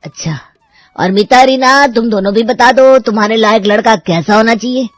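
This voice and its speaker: A woman's voice: fairly high pitch, moderate pace, no room sound, faint music behind, lightly acted. Woman acting a stern, frustrated middle-aged father. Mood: taunting, fed up. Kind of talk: Hindi cartoon story voice acting.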